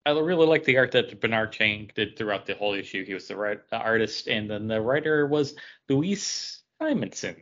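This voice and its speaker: A man's voice: on the low side, expressive, moderate pace, a bit bright, highs cut off. A man co-hosting a podcast, chatting casually about comic books. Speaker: podcast co-host.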